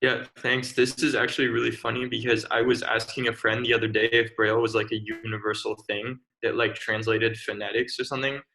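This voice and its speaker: A man's voice: medium pitch, flat delivery, a little fast, vocal fry, hardly any pauses, pushed and bright, rough recording. A young man in the audience asking questions on a video call, casual, with "like" scattered throughout. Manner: filler "like" throughout. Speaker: student audience member. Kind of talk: casual chat.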